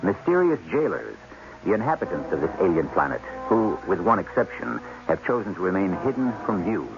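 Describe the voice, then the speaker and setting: A man hosting a radio drama, narrating in a deliberate, portentous, formal style. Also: low voice, very animated, gravelly, music in the background. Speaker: host and narrator. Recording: dull old radio broadcast.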